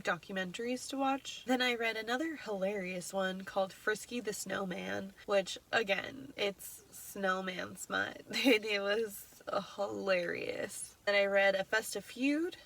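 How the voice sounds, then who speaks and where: A woman's voice: medium pitch, a bit bright. A young woman with a stuffy, nasal voice from congestion, chatting casually through a list of books she read, with frequent ums and self-corrections. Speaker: book vlogger. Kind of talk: casual reading wrap-up.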